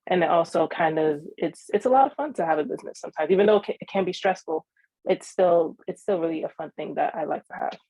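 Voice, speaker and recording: A woman's voice: fairly low pitch, fast talker, warm tone, slightly rough, no echo, low-quality recording. An eighteen-year-old woman from New York answering interview questions about her small business, earnest, with frequent ums and restarts. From New York (Queens). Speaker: young entrepreneur guest.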